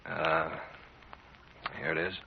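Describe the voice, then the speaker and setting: A man's voice: rumbling low, little pitch movement, slow, vocal fry, full character voice. An American man playing a deferential, uneasy clerk in 1940s radio drama, hesitant and polite. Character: deferential, uneasy male clerk to a crooked boss. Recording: muffled, hissy 1940s radio recording.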